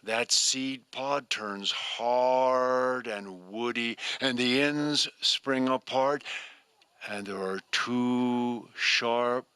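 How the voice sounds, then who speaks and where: An older man telling a folktale live to an audience, with slow, deliberate, expressive delivery. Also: mid-range voice, smooth, dry recording. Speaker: storyteller.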